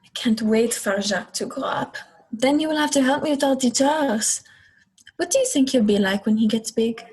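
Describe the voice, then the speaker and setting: Husky, plaintive young girl played by a woman. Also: a little high, moderate pace, darkish tone, rough recording. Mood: musing. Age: young adult. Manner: plaintive, questioning. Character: young girl, worried, questioning older sister. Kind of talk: radio play acting.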